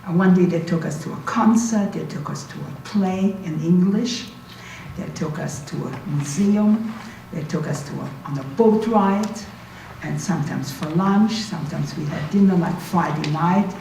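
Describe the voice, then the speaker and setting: A woman in her eighties with a German accent, recalling her past informally to an audience in a conversational, halting way with asides. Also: lowish voice, warm tone. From Berlin, Germany. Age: 80s. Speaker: Holocaust survivor giving a talk. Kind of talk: informal spoken recollection to an audience. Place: indoor university talk room, recorded from a distance. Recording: echoey room, distant mic, faint noise.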